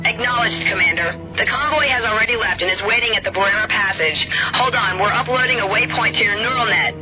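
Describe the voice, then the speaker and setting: An actor plays an official male station controller in a space game. He talks very briskly in a high voice over radio. Loud music plays behind. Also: lightly acted, low-quality recording. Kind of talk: game cutscene acting.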